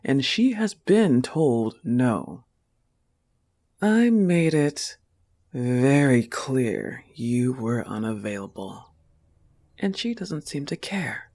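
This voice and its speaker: Slow, soft-spoken goth wolf girlfriend, acted by a man. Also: mid-range voice, very animated, heavily acted. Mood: firm, supportive. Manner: slow, soft-spoken, often dropping to breathy whispers. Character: possessive, tender, teasing goth wolf-girl girlfriend. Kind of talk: intimate audio roleplay.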